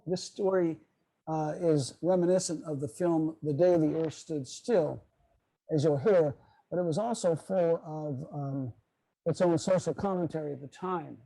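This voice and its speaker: A man with a husky, mellow voice, pitched a little high, presents over a video call. He explains in a measured, lecture-like way, stumbling now and then over his prepared text. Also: poor audio. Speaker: club presenter on old-time radio. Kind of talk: semi-scripted lecture presentation.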